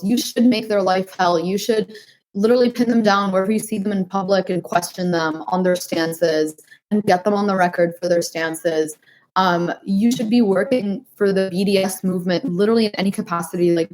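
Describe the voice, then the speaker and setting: A woman's voice: medium pitch, rough recording. A woman in her twenties from New Hampshire, speaking earnestly as a podcast guest, with many fillers like "like" and "um". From New Hampshire, United States. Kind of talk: interview answers.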